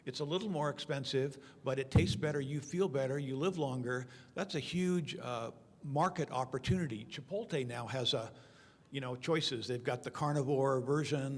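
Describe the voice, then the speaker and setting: A man speaking informally on a conference panel, explaining with anecdotes and examples in a reverberant hall. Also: medium pitch. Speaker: panel speaker answering audience questions. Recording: long hall reverb over conference sound system.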